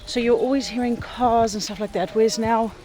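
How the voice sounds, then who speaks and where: A woman's voice: a little high, steady tone, fairly quick, mellow. Enthusiastic woman vlogger over music. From Netherlands. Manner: enthusiastic, informal. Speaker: travel vlogger and hiker. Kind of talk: casual vlog chat and narration. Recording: music behind the voice.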